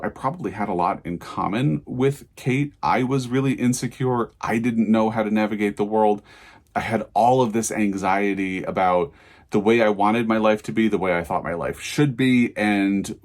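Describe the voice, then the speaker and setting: A man with a fairly low-pitched voice talks through a book review in a casual vlog style. He is thoughtful and enthusiastic, wandering through his points as he explains them.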